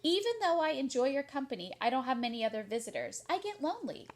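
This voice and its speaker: A woman voicing a kindly, wistful older female neighbor from a storybook, speaking gently and reflectively. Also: sits high, big pitch swings, fast talker, pitched above the performer's own voice. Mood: gently explaining.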